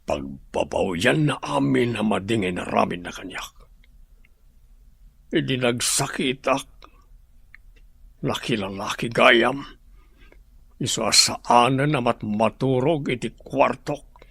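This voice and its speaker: A man playing a gravelly, commanding master of the house. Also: mid-range voice, expressive, slow pace, pauses often, fairly bright tone, no echo, exaggerated voice. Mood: stern. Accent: Ilocano. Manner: commanding, giving orders. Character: ailing master of a big house. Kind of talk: radio drama acting.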